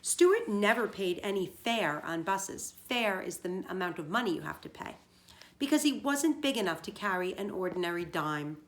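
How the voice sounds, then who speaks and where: A woman teacher reading a children's story aloud, pausing to explain words plainly for young listeners. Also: fairly low pitch, expressive. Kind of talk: storybook read-aloud with simple asides.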